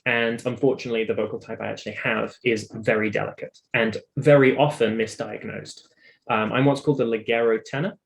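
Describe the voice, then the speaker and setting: A man's voice: mid-range voice, fairly even intonation, fairly quick, gravelly, poor audio. A British man talking casually in an interview, explaining his own story in a talkative, emphatic way. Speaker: interview guest, singer and vocal coach.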